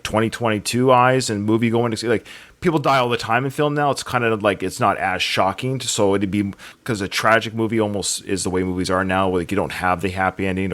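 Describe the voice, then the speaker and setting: A man's voice: lowish voice, a bit bright. A man hosting a podcast, talking through films in a casual, conversational way. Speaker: podcast host. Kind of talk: casual conversational film commentary.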